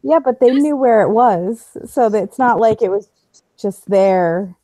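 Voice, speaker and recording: A woman with a soft-edged, mellow tone talks casually about books on a group video call. Her sound is close and dry, with very little room in it. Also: medium pitch, unhurried, dry recording, low-quality recording. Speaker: book club member. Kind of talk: casual book discussion.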